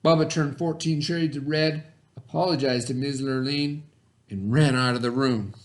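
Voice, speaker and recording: A man narrating a children's storybook aloud in an expressive read-aloud style. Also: mid-range voice, normal speed. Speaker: storybook reader.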